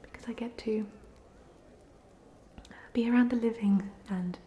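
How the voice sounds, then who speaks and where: A woman's voice: fairly high pitch, fairly even intonation, measured pace, lightly acted. A woman playing a polite, chatty ghost of a drowned student in a whispered, hesitant ASMR roleplay. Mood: amiable, chatty. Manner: whispered, hesitant, gently rambling.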